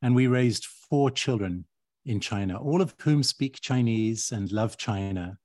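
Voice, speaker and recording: A middle-aged man who grew up in East Africa and whose first language is Swahili, speaking in a reflective, measured way as a guest on a podcast interview. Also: medium pitch, a little mellow, no room sound, studio quality. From grew up in Uganda and Kenya. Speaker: guest architect.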